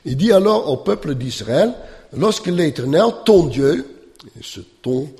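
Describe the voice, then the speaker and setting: A non-native man lecturing on scripture, slow and halting, with lots of "euh" and tag questions. His voice is gravelly and swings up and down a good deal. A long reverb trails behind him, as if he were in a big hall. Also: fairly high pitch, sing-song, darkish tone. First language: not French. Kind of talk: lecture on the Bible.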